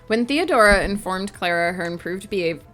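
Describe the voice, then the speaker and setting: A woman's voice: mid-range voice, expressive, a little slow, a bit bright, soft background music. A woman with a stuffy nose, reading aloud from her own novel draft on a stream and chatting between passages. She often stumbles, restarts sentences and corrects herself, speaking close to a desk microphone at home. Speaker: author and streamer.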